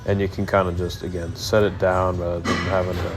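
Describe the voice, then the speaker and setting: Stuffy-nosed young man, lowish voice. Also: little pitch movement, brisk. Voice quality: nasal. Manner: laid-back, rambling, many ums. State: stuffy nose from a cold. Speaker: fitness vlogger. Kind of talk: casual workout voice-over. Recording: voice-over over clear background music. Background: music playing underneath.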